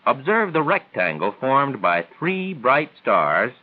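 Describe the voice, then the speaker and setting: A man's voice: medium pitch, sing-song, narrow, tinny audio. A man reading scripted instructional narration in a formal, didactic 1940s training film style. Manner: formal, didactic, measured phrasing. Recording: thin, band-limited 1940s film soundtrack.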